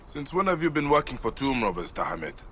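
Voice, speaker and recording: An actor voicing Bayek, a stern warrior with a dry, teasing manner, in a video game cutscene. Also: male voice, mid-range voice, big pitch swings, quick pace, fairly bright tone. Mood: curious. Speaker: game protagonist. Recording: game audio with ambient noise.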